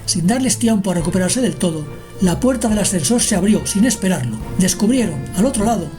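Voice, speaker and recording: A woman narrating a scripted radio drama as the storyteller. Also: mid-range voice. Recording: music behind the narration.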